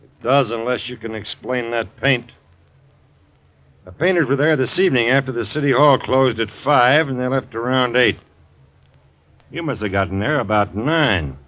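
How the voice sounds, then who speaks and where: Actor as dogged police detective, dull old recording. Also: male voice, medium pitch, a bit bright, close to an everyday voice. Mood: dry, insistent. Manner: plain-spoken, deliberate questioning. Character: dogged, dry male police detective, quietly sure. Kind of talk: 1950s radio drama acting.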